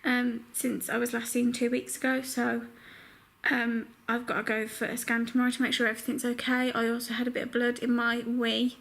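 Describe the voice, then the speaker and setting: A young, heavily pregnant woman chatting casually to her camera at home, full of filler words, her voice croaky. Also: fairly high pitch, little pitch movement, fairly quick, fairly bright tone. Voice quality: croaky morning voice. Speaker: vlogger. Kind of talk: casual vlog chat. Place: home bedroom.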